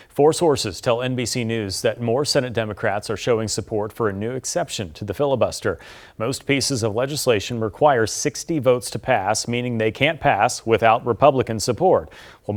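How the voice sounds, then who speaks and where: American male news anchor, mid-pitched voice. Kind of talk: scripted newscast.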